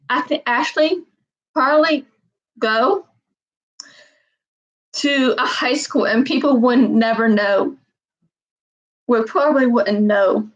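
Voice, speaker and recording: Rambling young woman on a lo-fi stream. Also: a little high. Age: 20s. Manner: meandering, repeats herself, frequent "um". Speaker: live-stream host. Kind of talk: rambling casual live chat.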